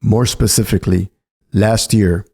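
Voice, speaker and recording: A man's voice: on the low side, fairly even intonation, unhurried, darkish tone. A man reading a scripted political polemic as narration. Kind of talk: scripted narration, polemical essay read aloud.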